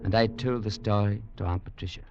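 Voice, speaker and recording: An actor playing a grave young English legionnaire, narrating in an earnest, measured, formal way in 1940s radio drama style. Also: male voice, lowish voice, steady tone, natural voice. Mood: somber, tense. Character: grave young English legionnaire recounting his brothers' tragedy. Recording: muffled 1940s radio recording with music.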